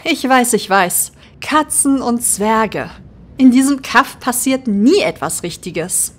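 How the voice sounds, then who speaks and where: A woman's voice: a little high, animated, slow. A woman playing a dry-humored, teasing small-town deputy with an ironic manner in an audio drama. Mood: amused, teasing.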